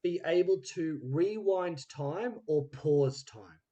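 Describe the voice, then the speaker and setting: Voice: mid-range voice, slow pace, warm tone, highs cut off. Casual, jokey podcast host. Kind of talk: casual chatty interview.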